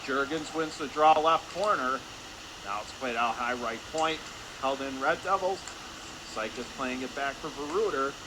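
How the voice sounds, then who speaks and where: A man doing radio play-by-play of a hockey game in clipped, rapid-fire phrases. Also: mid-range voice. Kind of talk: radio sports play-by-play commentary. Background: noisy indoor ice rink.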